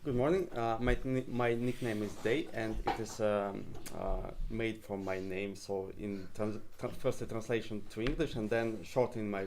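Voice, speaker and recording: A man player giving a nervous, halting timed speech with many pauses and restarts. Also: lowish voice, steady tone, darkish tone, a little hiss or hum. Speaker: tournament player.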